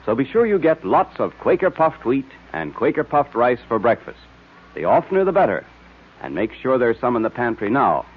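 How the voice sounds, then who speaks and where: A thin, tinny vintage radio recording of a male announcer. His medium-pitched voice has a husky, unclear edge as he reads in the scripted style of old serials. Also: big pitch swings, normal speed. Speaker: announcer and narrator.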